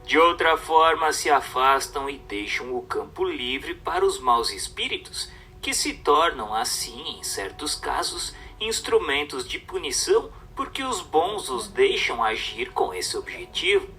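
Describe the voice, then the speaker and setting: Male narrator, Brazilian accent, lively intonation, declamatory scripted reading, slightly distant mic, music underneath. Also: on the high side, unhurried, few pauses, slight background noise. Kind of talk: scripted narration of a religious book.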